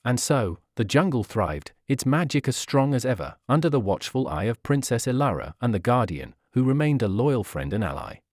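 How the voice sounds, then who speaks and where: Male narrator for kids' storybook: medium register, lively melodic delivery, dry recording. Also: mid-range voice, normal speed, hardly any pauses, dry recording. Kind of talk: scripted children's fairy tale narration.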